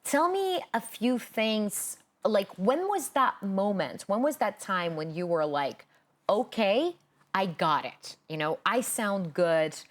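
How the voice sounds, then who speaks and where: A woman's voice: medium pitch, animated, slow pace. A young woman from Ukraine whose first language is Ukrainian, hosting a casual interview as an accent coach and talking earnestly with many fillers.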